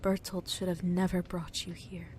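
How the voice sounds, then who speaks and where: A woman playing a sullen, guarded young cult follower in an audio drama, terse and hushed. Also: fairly low pitch, little pitch movement, normal speed, slight background noise, lightly acted. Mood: sullen, resigned.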